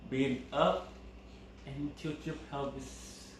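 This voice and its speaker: A man's voice: mid-range voice, slow pace. Easygoing man in an echoey room. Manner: relaxed, rambling with fillers and repeats. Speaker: co-host. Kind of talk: casual couple chat. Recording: very echoey room with faint background noise.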